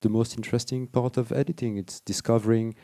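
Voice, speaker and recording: Mellow, dry-voiced middle-aged male editor. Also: mid-range voice, level tone, gravelly, no room sound. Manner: searching, halting phrasing. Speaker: film editor. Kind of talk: talk at a festival panel. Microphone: table microphone.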